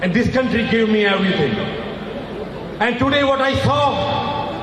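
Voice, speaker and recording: Emphatic man, echoing over loudspeakers. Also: very high-pitched, normal speed, noisy background. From Afghanistan. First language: not English. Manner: emphatic, repetitive, rising to shouts. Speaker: rally speaker. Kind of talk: impassioned political speech. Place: outdoor rally stage over loudspeakers. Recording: echoey loudspeaker sound from far off.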